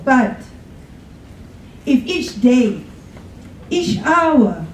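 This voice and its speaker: Slow theatrical woman reciting poetry in echoey room. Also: high voice, sing-song. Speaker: poetry contest reader. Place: college room, at a podium. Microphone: podium microphone, filmed from the audience. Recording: echoey room, distant, faint noise.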